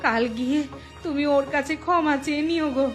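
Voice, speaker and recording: A woman's voice: sits high, steady tone, a little fast, soft background music, natural voice. A woman voicing an ailing, remorseful village shopkeeper's wife in a moral cartoon, speaking in a plaintive, pleading way. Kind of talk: Bengali moral cartoon acting.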